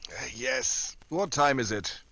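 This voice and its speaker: Wry actor as a radio secret agent, animated delivery. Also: male voice, mid-range voice, slow pace, a little hiss or hum, exaggerated voice. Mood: alarmed, urgent. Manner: wry, sardonic. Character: wry, tough male American secret agent investigating smuggling. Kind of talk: old-time radio drama acting.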